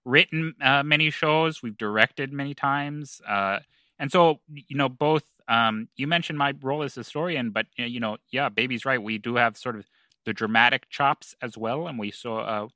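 A man playing an earnest small-town historian with dramatic pretensions in a comedy audio drama, speaking in a halting, politely condescending way. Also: mid-range voice, pushed and bright, no room sound, lightly acted. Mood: politely smug.

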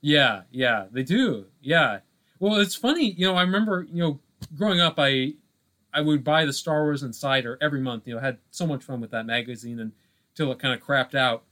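A man co-hosting a podcast in casual chat, halting and full of filler phrases. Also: medium pitch, expressive, pushed and bright. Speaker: podcast co-host.

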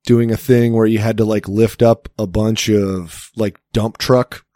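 A man's voice: lowish voice, flat delivery, measured pace, dark tone, no room sound, clean studio sound. A young man chatting casually, telling a long story with many restarts and the odd swear word. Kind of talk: casual chat.

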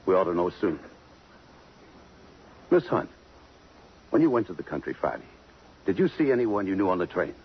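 A man's voice: deep voice, sing-song, normal speed, pauses often, husky, exaggerated voice. An actor playing a tough American police lieutenant in 1950s radio drama, blunt and pressing as he questions people. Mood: probing. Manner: blunt, pressing interrogation, slangy. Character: tough, persistent male police detective lieutenant. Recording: muffled, hissy 1950s radio broadcast.

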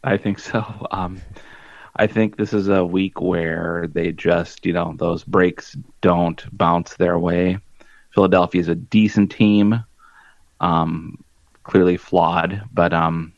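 A man co-hosting a casual sports podcast who talks in a halting, wry way with many pauses and fillers. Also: deep voice, level tone, no room sound, highs cut off. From small-town Minnesota. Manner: halting, full of "um" and "you know", wry. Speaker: podcast co-host.

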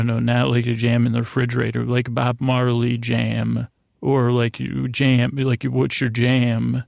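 Gravelly, rambling man hosting a sleep podcast. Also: mid-range voice, no echo, studio quality. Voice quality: gravelly, creaky. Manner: meandering, halting, stammering, self-interrupting; whimsical, silly wordplay. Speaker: podcast host.

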